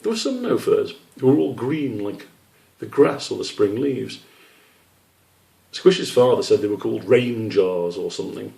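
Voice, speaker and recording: Low gravelly male storyteller in an echoey room. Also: expressive, a little slow, dark tone. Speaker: author reading his children's book. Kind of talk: storybook narration. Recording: roomy echo, distant microphone.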